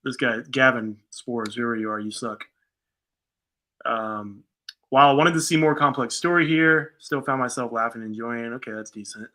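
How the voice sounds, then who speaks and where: Very bright, forceful American man, chatting casually. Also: medium pitch, moderate pace. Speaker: show co-host. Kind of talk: casual chat reading out and reacting to reviews.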